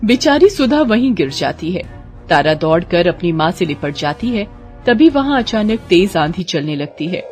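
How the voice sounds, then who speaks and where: A woman narrating a scripted moral story as an expressive, dramatic storyteller. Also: lowish voice.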